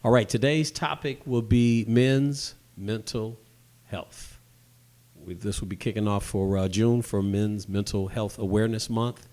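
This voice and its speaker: A man's voice: lowish voice, steady tone, measured pace, a little mellow. A man hosting a podcast panel, introducing guests and asking short questions. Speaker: podcast host.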